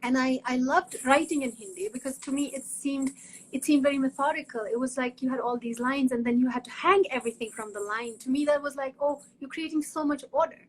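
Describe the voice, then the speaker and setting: A woman's voice: high-pitched, brisk, a little hiss or hum, rough recording. A woman from Kashmir, speaking earnestly in a conversational interview, often restarting and repeating words. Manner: earnest, frequent restarts and repetitions. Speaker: guest academic in conversation.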